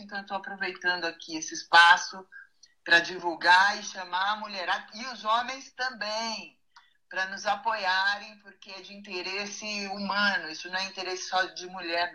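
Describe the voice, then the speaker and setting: Woman guest on a talk show, really sharp bright voice, chatty and outspoken. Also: mid-range voice, poor audio. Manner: informal, outspoken. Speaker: political commentator and guest. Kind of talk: casual talk show commentary.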